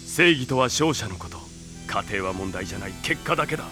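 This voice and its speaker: A man's voice: lowish voice, lively intonation, brisk, natural voice. Man playing a sneering young villain over dramatic music. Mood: gloating, sneering. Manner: theatrical, sneering, condescending. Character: cold, arrogant young male villain serving an evil master. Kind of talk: Japanese anime audio drama acting.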